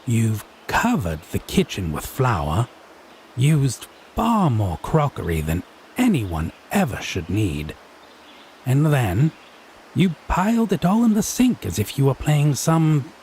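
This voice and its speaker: An actor playing a haughty, teasing male Fae in an audio roleplay, speaking in an arch, pompous, theatrically drawn-out way. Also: medium pitch, wildly swooping pitch, darkish tone, a little hiss or hum, full character voice. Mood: smug, scolding. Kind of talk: scripted audio roleplay drama.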